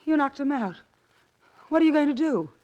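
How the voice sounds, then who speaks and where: Snooty taunting villainess, 40s radio drama style, really swoopy intonation. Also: female voice, high voice, lively intonation, normal speed, darkish tone, dull audio. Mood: alarmed. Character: cool, scornful female accomplice in murder. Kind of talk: 1940s radio drama acting.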